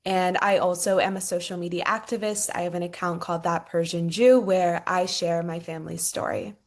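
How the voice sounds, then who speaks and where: A woman's voice: lowish voice, fairly even intonation, fairly quick. Composed woman guest, fluent intro. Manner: fluent, composed, clearly stated. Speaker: panel guest. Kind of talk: self-introduction on a webinar. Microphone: video call.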